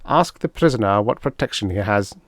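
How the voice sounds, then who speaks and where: A man's voice: on the low side, lively intonation, brisk, dry recording, studio quality, close to an everyday voice. A man voicing an evil, irritable toadlike alien commander who barks orders and sneers in a pulp science fiction audiobook. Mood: smug, exultant.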